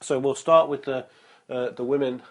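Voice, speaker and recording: A young man vlogging about fitness, his voice a little nasal from a cold and his throat sore, upbeat and motivational, with many ums and uhs, slowing down to spell out figures. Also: mid-range voice, fairly even intonation. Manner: slow and careful when reading out numbers. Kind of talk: casual vlog talk and explainer.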